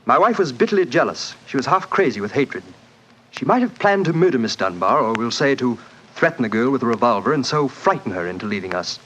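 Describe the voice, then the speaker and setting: A blunt, proud rich husband with a touchy temper. He talks fast at a medium pitch, in clipped radio-drama phrases. The vintage recording sounds dull. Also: sing-song, a bit bright, slight background noise. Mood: grave, explanatory. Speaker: actor in a radio mystery. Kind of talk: 1940s radio acting. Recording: muffled 1940s radio recording.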